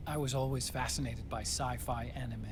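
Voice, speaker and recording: A man with an American accent playing an earnest, guilt-ridden scientist in a video game cutscene, speaking softly and haltingly. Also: mid-range voice, steady tone, background noise, lightly acted. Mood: regretful, reflective. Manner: hesitant, halting, soft-spoken.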